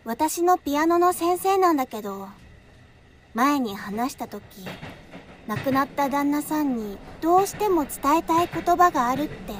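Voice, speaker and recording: An actress plays an earnest teenage schoolgirl in an audio drama. Her voice is high and gentle, casual in phrasing, over clear background noise. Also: lively intonation, moderate pace. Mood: earnest, subdued. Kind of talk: radio drama dialogue.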